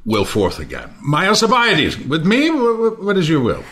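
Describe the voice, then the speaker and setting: A man's voice: a little high, wildly swooping pitch, normal speed, pushed and bright, full character voice. A man reading the part of a brusque, lordly nobleman in a Shakespeare audio play. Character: lordly Athenian nobleman, brusque with creditors' servants.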